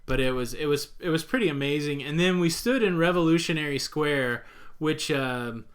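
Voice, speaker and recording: Relaxed rambling man co-hosting. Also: on the high side, moderate pace. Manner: relaxed, rambling, many uhs. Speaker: co-host. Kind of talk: casual live Q&A chat.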